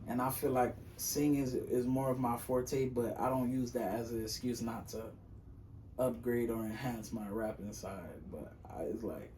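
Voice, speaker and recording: A young man who raps and sings, talking casually on a podcast in a loose, rambling way, full of slang and fillers. His voice is on the high side. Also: fairly even intonation, normal speed, mellow, recorded from a distance, slight background noise. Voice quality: high-pitched voice. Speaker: rapper and singer guest.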